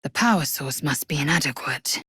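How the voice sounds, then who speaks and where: An actress voicing a fierce, haughty alien warrior woman in a video game, with a husky voice and an imperious, clipped, disdainful manner. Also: deep voice, lively intonation, normal speed, pushed and bright, dry recording, clean studio sound, heavily acted. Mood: solemn. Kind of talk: video game character voice lines.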